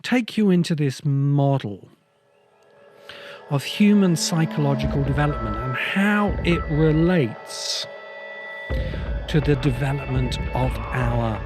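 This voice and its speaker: A man hosting a talk on ideas, speaking in an essay-like, explanatory style with measured, deliberate phrasing in short bursts. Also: mid-range voice, animated, music in the background. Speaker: host of a science fiction ideas show.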